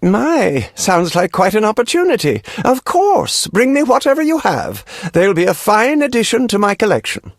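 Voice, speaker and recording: A man's voice: sits high, wildly swooping pitch, moderate pace, hardly any pauses, no echo, studio quality, heavily acted. An actor playing a pompous, fussy nobleman in a video game, with formal, self-important speech. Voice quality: creaky.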